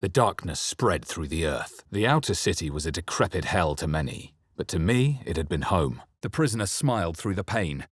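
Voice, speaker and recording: A guarded, observant fantasy game hero, with a low, slightly husky voice. He speaks in measured, dramatic phrases with crisp enunciation and a dry, close studio sound. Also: very animated, no echo, exaggerated voice. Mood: solemn narration. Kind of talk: scripted game voice lines and narration.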